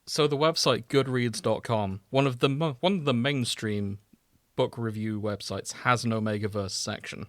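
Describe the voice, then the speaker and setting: A man's voice: mid-range voice, normal speed, a bit bright, no room sound. A man co-hosting a podcast in casual, comedic chat, with a wry, dry sense of humour. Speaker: podcast co-host. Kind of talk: casual comedic chat about fan fiction.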